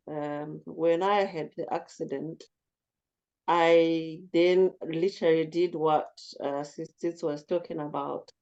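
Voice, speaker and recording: A talkative woman with a low, commanding voice, leading a casual group discussion and speaking reflectively. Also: a little mellow, lo-fi sound. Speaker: group facilitator.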